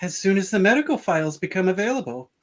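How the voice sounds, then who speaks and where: A performer playing a composed, careful starship doctor in a fan radio play, measured and explanatory. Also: mid-range voice, animated, close to an everyday voice. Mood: calm, hopeful.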